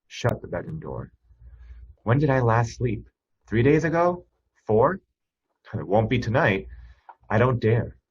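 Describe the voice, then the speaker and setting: Husky low-voiced man narrating suspense. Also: dull audio. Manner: tense, expressive first-person delivery. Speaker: story reader. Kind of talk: dramatic scripted narration of a suspense short story.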